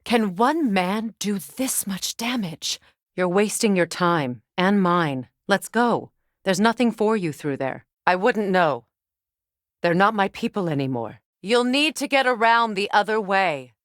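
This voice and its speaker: A woman's voice: mid-range voice, lively intonation, unhurried, no room sound, clean studio sound, close to an everyday voice. A woman acting a proud, bitter exiled warrior goddess and grieving mother in a video game, with a stern, curt, imperious manner. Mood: mournful.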